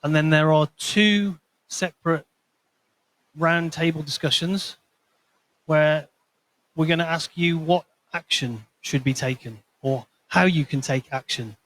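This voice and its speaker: A man's voice: fairly high pitch, measured pace, a bit bright, dry recording, rough recording. Man giving a conference talk. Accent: not Scottish. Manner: explanatory, storytelling with light humor. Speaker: conference speaker, consultancy manager. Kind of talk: presentation to a conference audience.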